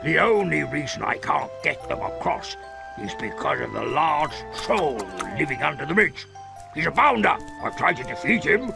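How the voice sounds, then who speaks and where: Posh British billy goat, pompous, high gravelly voice, swooping pitch, cartoon fandub, loud music behind. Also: male voice, full character voice. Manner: pompous, old-fashioned exclamations. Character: blustering posh father goat, pompous and boastful. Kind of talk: cartoon game fandub acting.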